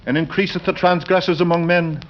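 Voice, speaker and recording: An actor playing a brooding religious fanatic in a 1940s radio drama, speaking formally in deliberate, sermonizing phrases. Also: male voice, on the high side, fairly even intonation, natural voice. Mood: fervent, condemning. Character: brooding, pious, sinister male lodger, religious fanatic. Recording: muffled, noisy old 1940s radio recording.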